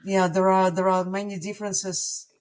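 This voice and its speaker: A woman from Russia, whose first language is Russian, talks in a casual podcast chat. She speaks reflectively and often hesitates, filling pauses with "uh" and "like" as she works through her thoughts. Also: mid-range voice, flat delivery, darkish tone. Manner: reflective, hesitant, many fillers.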